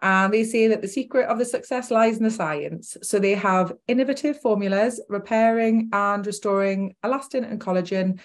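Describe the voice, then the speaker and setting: A woman's voice: mid-range voice, normal speed, few pauses. A woman in her forties with a Newcastle Geordie accent, presenting an informal product webinar.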